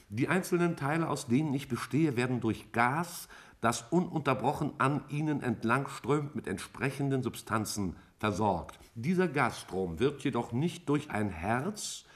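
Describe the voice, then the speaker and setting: A man playing an intelligent interstellar cloud in a 1950s radio play, explaining itself in precise, formal, measured words. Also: medium pitch, natural voice. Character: intelligent interstellar gas cloud speaking to scientists, measured and superior.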